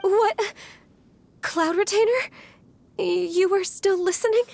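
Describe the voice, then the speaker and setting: High-voiced, gentle young assistant. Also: female voice, slow, vocal fry, full character voice. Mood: flustered, polite. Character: gentle, earnest, timid young female half-adeptus assistant. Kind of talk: video game voice acting.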